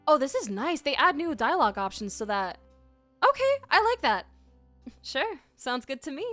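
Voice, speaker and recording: Playful high-voiced woman streamer. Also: big pitch swings, moderate pace, fairly bright tone, soft background music. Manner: playful, talks back to the game. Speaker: streamer reacting to a game. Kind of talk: casual reaction commentary.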